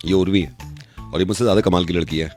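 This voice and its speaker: A man's voice: deep voice, very fast, a little mellow, soft background music. A man hosting a radio prank show, giving a playful, casual introduction.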